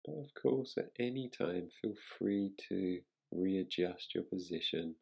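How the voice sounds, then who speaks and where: A man guiding a relaxation meditation as a teacher, speaking in a soothing, unhurried manner with long pauses. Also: deep voice, lively intonation, vocal fry, a little mellow, slightly rough.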